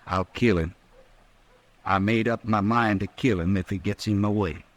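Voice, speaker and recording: An actor playing a stubborn, lovestruck, brooding cowhand with a rustic Western American accent and a halting, obstinate way of talking. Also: male voice, on the low side, fairly even intonation, natural voice. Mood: brooding threat. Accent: rural American Western. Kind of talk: 1950s radio drama acting.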